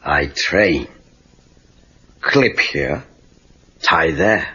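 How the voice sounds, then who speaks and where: A man's voice: a bass voice, animated, extremely slow, bright, forceful tone, husky, exaggerated voice. An actor playing a wise, terse old Okinawan handyman with a Japanese accent and clipped broken English in an audio story. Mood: calm, instructive. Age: older. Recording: dull cassette tape with music.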